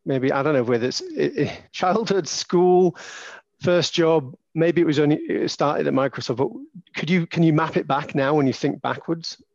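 Rambling host with a dull, dry sound. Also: male voice, on the high side, a little mellow, no room sound. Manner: rambling, hesitant, many restarts. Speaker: podcast host. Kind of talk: casual interview questions.

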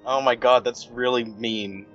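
A man's voice: medium pitch, fairly even intonation, measured pace, fairly bright tone. A man playing a tabletop game, bantering casually in an insistent, jokey way. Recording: muffled audio, music bed. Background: music.

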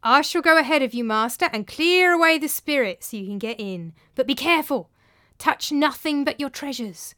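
A woman's voice: sits high, animated, moderate pace, pushed and bright, pitched above the performer's own voice. A woman voicing a scheming male servant who tells tales in an urgent, melodramatic way with stretched-out words, in a dramatised story. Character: desperate scheming male servant spinning a ghost tale.

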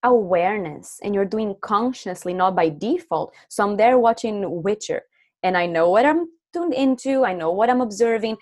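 A woman's voice: medium pitch, expressive, moderate pace, a little mellow. Brazilian-accented woman, earnest podcast guest. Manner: earnest, reflective, spiritual vocabulary. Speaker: podcast guest, energy coach. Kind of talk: casual podcast conversation.

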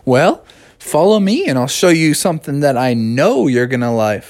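A storyteller plays a bubbly young mermaid, confident and chatty. Her breezy voice moves with very lively pitch in a dry recording. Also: moderate pace, no echo.

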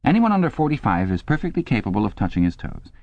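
A shrewish exercise instructress, acted out by a man, pitched up well above natural talk. She barks brisk commands in audiobook style, scolding and urging her pupils on. The sound is dull, with the echo of a room. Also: big pitch swings, close to an everyday voice. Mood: bossy, exhorting. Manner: barking drill commands, scolding then briskly encouraging. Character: shrewish 39-year-old female exercise instructress, bossy and brisk. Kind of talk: audiobook character voice. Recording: dull, echoey room recording.

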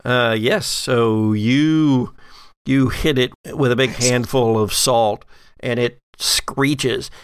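A man narrating a tabletop horror game as game master, in halting, descriptive phrases. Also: mid-range voice, steady tone, clear, resonant tone, dry recording, studio quality.

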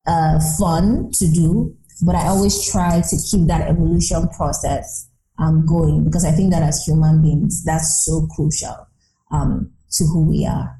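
A woman's voice: low-pitched, steady tone, measured pace, dark tone. A woman in her thirties speaking reflectively as an interview guest, earnest and emphatic, building her points through repetition. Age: 30s. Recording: roomy, echoing sound.

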